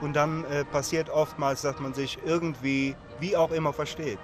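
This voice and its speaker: A man's voice: on the high side, fairly even intonation, moderate pace, faint music behind. A man being interviewed outdoors at a summer festival, telling stories in a chatty way with frequent fillers. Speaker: interviewee, long-distance walker. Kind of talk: casual storytelling in an interview.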